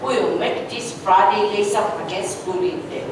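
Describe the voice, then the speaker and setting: A woman's voice: medium pitch, steady tone, moderate pace, natural voice. Encouraging school principal played by a female reader, echoey. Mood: proud. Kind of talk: amateur reader's theatre reading. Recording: very echoey room, distant mic, clear noise.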